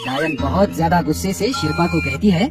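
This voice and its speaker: High-pitched man narrating a story. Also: a little fast, over loud music. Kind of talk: scripted children's horror story narration.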